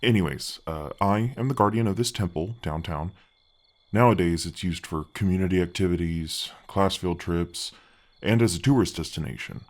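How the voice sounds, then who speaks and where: Gargoyle guardian played by a man, ancient but gentle: very low, effect-deepened voice, friendly, reassuring, slightly awkward fantasy ASMR delivery. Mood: chatty, explaining. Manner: halting, friendly, reassuring, chatty. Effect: deep voice effect.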